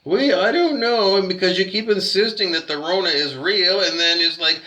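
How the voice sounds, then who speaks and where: A man's voice: high-pitched, moderate pace, pushed and bright, poor audio. A Canadian man rambling through a profane rant, full of restarts and fillers. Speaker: hotel guest complaining.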